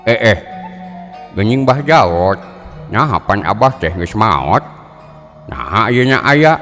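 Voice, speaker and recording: A man's voice: fairly low pitch, big pitch swings, slow, a bit bright, heavily acted. A man voicing a frightened young village man who talks nervously, stammers and jokes, with folk music playing behind.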